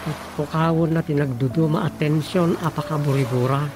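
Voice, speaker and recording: A man's voice: fairly high pitch, fairly even intonation, a little fast, lightly acted. A man playing an easygoing, advice-giving fellow in an Ilocano radio drama comedy, with an earnest manner and an Ilocano accent. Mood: earnest, advising. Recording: music bed under the voice.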